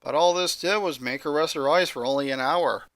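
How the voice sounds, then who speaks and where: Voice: medium pitch, a little fast, a bit bright, no room sound, studio quality. A person reading a fan fiction story aloud as scripted narration. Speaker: story reader.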